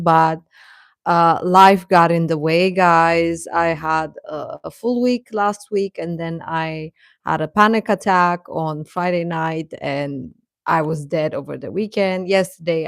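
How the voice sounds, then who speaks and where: A woman hosts a casual livestream, talking informally to her viewers as a group. Her voice sits a little low for a woman and she takes her time, with a very slow overall pace. The sound is dry, with hardly any room on it. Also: creaky, no room sound. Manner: chatty, informal, addresses viewers as guys. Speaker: livestream host.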